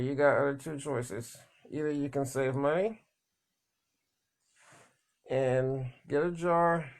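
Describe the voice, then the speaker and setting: A man talking in a casual, rambling monologue, halting and repeating words, blunt and plain-spoken. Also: on the high side. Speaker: vlogger.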